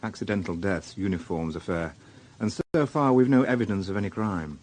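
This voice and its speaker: A man's voice: low-pitched, normal speed, a little mellow. An actor playing a weary, dry-witted male police inspector in a radio drama, wry and understated. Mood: musing, tired. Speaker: narrator and lead actor. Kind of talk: radio drama acting and first-person narration.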